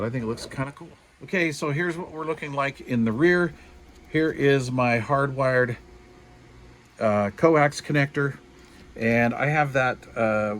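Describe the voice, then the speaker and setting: A man's voice: medium pitch, a bit bright. A man giving a casual, unscripted hobbyist show-and-tell in a folksy, colloquial, self-deprecating way. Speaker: hobbyist showing a DIY radio build.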